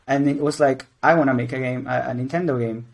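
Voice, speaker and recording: A man's voice: medium pitch, steady tone, fast talker, gravelly. A man in his twenties from Venezuela and Mexico, a game creative director answering interview questions in a rambling, casual way. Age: 20s. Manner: rambling, many restarts and fillers.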